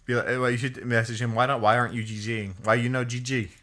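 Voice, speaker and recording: A man co-hosting a casual gameplay show, relaxed and joking, asking his partner questions. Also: mid-range voice, quick pace. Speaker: co-host.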